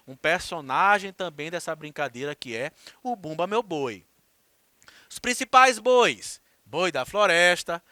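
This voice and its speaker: A man's voice: high voice, bright, forceful tone, smooth, dry recording. A man teaching a recorded class, explaining things with chatty asides to his students.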